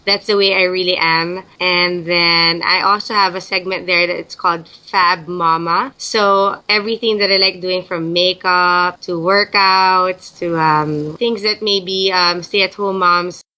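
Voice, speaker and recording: A young woman from the Philippines speaks in a bright, clear voice. She answers interview questions in a loose, chatty way, often restarting her sentences as she thinks aloud. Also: on the low side, steady tone, slow, rough recording. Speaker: guest.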